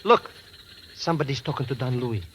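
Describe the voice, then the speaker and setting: An actor playing a nervous, nosy sidekick to a secret agent, excitable and rambling, in an old radio drama. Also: male voice, mid-range voice, fairly quick, lightly acted. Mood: uneasy. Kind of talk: 1940s radio drama acting. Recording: noisy old radio recording.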